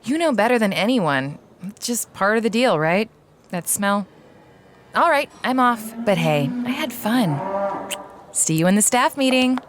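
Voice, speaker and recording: An actress playing a breezy, unabashed museum staffer in an audio drama, talking in a casual, offhand way. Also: female voice, a little high, animated, a little slow, a little hiss or hum. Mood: casual, dismissive. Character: breezy adult woman museum staffer, unabashed morning-after lover.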